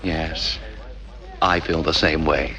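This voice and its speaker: An actor playing a meek, henpecked little husband in an old radio drama, wryly resigned and hesitant. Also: male voice, extremely low pitch, fairly even intonation, takes it slowly, pushed and bright, heavily acted. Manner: hesitant, plaintive, wry. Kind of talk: old-time radio comedy-drama acting. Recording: old radio show with music behind.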